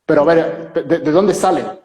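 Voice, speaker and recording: A man's voice: on the high side, normal speed, a little mellow, slight background noise. A man, a priest, hosting an interview and asking questions in a friendly, enthusiastic way. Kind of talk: conversational interview. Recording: echoey room with long reverb.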